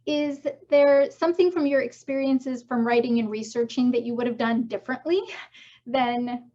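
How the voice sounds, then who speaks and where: Woman host, playful questioner, higher pitch, muffled lo-fi recording. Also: fairly even intonation, moderate pace, warm tone. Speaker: interviewer. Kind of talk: conversational interview. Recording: dull lo-fi audio.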